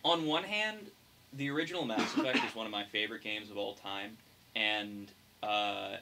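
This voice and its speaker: A man's voice: lowish voice, moderate pace, very noisy. A young man co-hosting a casual podcast, thinking aloud as he explains his points. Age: young adult. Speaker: podcast co-host.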